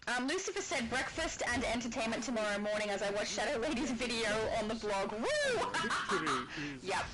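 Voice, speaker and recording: Woman, Australian accent, high voice, very animated pitch, chatty, giggly, streaming a game. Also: moderate pace, over music, rough recording. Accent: Australian, slightly British-tinged. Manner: giggly, chatty, reads chat aloud. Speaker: livestream host. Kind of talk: casual gaming livestream chat.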